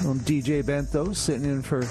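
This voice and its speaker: A man hosting a community radio music show as a DJ, casual, laid-back and a little halting in his delivery. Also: a little high, fairly even intonation. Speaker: guest radio DJ.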